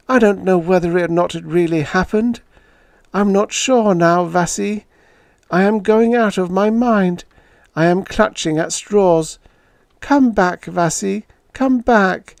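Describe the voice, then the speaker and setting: A man reading an audiobook puts on a frightened, lonely woman close to tears. Her voice leaps high and loud as she pleads and cries out. The sound is dry and close. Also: slow pace, creaky, no echo, heavily acted. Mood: desperate. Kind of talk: audiobook character voice.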